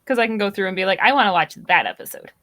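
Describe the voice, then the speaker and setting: A woman's voice: mid-range voice, expressive, racing, bright, forceful tone, no room sound. Casual woman chatting about films, close dry sound. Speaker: podcast guest or co-host. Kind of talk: casual movie discussion.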